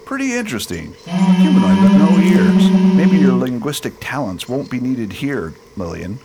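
A man's voice: medium pitch, very animated, moderate pace, loud music behind the voice, rough recording. A man playing a wry, composed space expedition leader in a science fiction radio drama, speaking casually. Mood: curious, wry.

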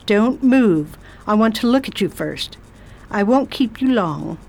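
A woman's voice: medium pitch, lively intonation, unhurried, vocal fry, darkish tone. A woman playing an imperious, curious English lady traveller in an audio drama, speaking in a formal, old-fashioned way. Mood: commanding, scornful. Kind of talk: audio drama acting and diary narration.

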